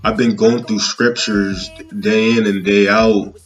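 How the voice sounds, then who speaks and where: Halting thirty-year-old man, low voice. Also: level tone, soft background music. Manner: rambling, halting, often checking in with "you know what I'm saying". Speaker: vlogger. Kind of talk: casual personal monologue to viewers.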